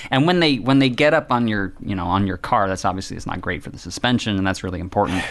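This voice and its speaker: Male podcast regular, lower voice, straight-faced comic riffs. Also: quick pace, gravelly, fairly bright tone. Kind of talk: casual podcast chat.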